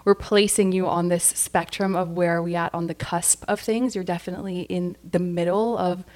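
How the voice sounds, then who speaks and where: A woman's voice: fairly low pitch, steady tone, moderate pace, darkish tone. A woman co-hosting a podcast, effusive and encouraging as she leads a casual interview. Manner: effusive and encouraging, with fillers and restarts. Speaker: podcast co-host.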